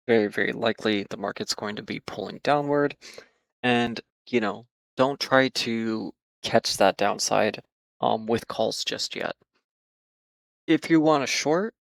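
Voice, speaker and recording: A man talking casually through stock charts, hoarse and losing his voice, with many fillers and repeated hedges. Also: mid-range voice, dry recording. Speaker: market analysis host. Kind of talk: casual trading chart commentary.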